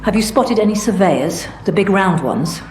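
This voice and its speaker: Roomy actress as an inquisitive researcher. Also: female voice, mid-range voice, expressive, normal speed, darkish tone, natural voice. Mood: curious, earnest. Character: earnest, inquisitive woman researcher giving missions. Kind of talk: video game character dialogue. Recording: roomy, faint music behind.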